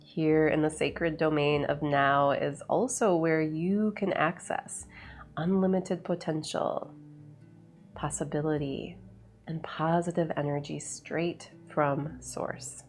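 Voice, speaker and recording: A woman leading a guided meditation in a soothing, unhurried way, with measured phrases and long pauses. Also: low-pitched, little pitch movement. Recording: voice over background music. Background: background music.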